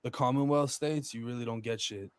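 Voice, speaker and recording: A man's voice: medium pitch, fairly even intonation, fairly quick, smooth, no room sound. A man chatting casually as a podcast guest, blunt and profane, with heavy street slang.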